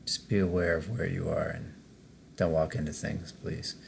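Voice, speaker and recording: A man narrating a guided meditation as its host, in a measured way, pausing between short phrases. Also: very deep voice, fairly even intonation, creaky, slight background noise.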